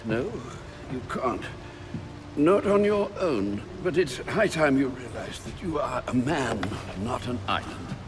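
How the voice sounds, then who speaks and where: Slow, measured old butler. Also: male voice, mid-range voice, animated, over music. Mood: firm, urging. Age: older. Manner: measured, earnest, formal. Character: loyal old male butler, wise and fatherly. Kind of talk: video game voice acting.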